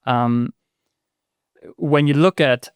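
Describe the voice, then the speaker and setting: A man's voice: mid-range voice, extremely slow, clear, resonant tone, dry recording, studio quality. A man hosting a podcast interview, talking casually and hesitantly with lots of fillers and tag questions. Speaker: podcast host.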